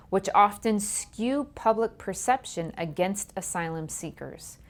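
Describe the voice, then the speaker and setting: A woman hosting a human rights program, delivering a scripted educational talk in a measured, earnest way, her voice fading quieter at the ends of phrases. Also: fairly low pitch.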